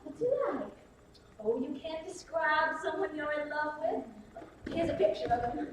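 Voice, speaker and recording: A highly strung belle. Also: female voice, unhurried, background noise. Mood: probing. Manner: theatrical, breathy, swings to near hysteria. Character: fragile, faded Southern belle, highly strung. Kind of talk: 1950s film drama. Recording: echoey, distant old film soundtrack.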